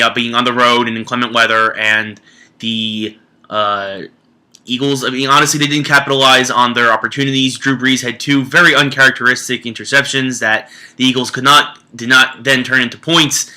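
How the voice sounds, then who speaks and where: A congested man with a very bright, sharp, forceful voice hosts a casual sports show from his home man cave. He talks candidly and rambles, breaking off to correct himself as he goes. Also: medium pitch. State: congested with flu.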